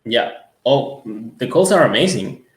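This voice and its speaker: A man from Ecuador, a native Spanish speaker, giving an earnest conversational testimonial in halting phrases as an interview guest. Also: medium pitch, big pitch swings. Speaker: music school owner, interview guest. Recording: roomy, echoing sound.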